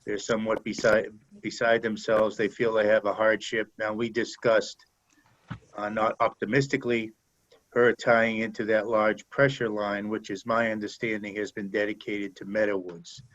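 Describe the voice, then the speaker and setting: Low, even-toned man, steady meeting comment, lo-fi line. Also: moderate pace. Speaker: commission member. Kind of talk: speaking at a public meeting. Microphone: remote video call. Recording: dull lo-fi call audio.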